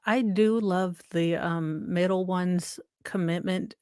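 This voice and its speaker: Woman, lowish voice, chatty, wry asides, trial commentary, dry close mic. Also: fairly even intonation, slow, warm tone, clean tone, no room sound. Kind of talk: casual commentary chat.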